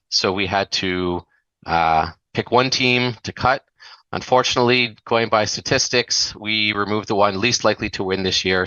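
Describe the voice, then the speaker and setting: A man's voice: fairly low pitch, pushed and bright, no room sound, highs cut off. A man moderating a medical webinar, introducing speakers and running polls with light joking asides.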